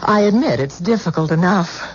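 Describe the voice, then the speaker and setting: An actress playing a loving, pleading sweetheart in an old-time radio melodrama, tender in manner. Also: female voice, fairly low pitch, expressive, moderate pace, noisy background, natural voice. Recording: muffled vintage radio recording.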